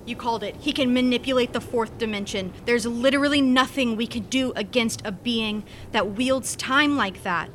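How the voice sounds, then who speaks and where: An actress playing a sharp, anxious scientist on a spaceship crew in a sci-fi audio drama, brisk and technical. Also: female voice, fairly high pitch, slight background noise, lightly acted. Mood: tense.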